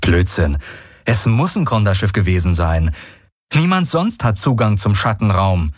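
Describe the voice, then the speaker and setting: A man reading an audiobook voices a grave male alien who tells of past events in a low, slow, husky voice. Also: very animated, dry recording, studio quality.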